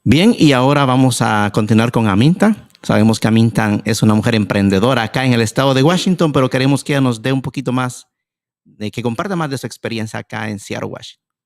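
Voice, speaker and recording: A man's voice: medium pitch, fast. Polite male radio host, formal. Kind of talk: radio talk show hosting.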